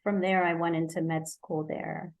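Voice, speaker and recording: A woman's voice: fairly low pitch, level tone, normal speed. A woman from Egypt, a physician guest in an interview, talking in a searching way, often correcting herself and laughing lightly. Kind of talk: conversational interview.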